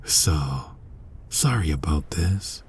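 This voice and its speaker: A man playing a guilt-ridden, centuries-old vampire hunter who is himself a vampire, husky and halting, in a dramatic ASMR roleplay. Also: animated, very noisy, exaggerated voice. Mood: grim, determined. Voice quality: husky, breathy low voice. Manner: slow, brooding, halting, often dropping toward a whisper.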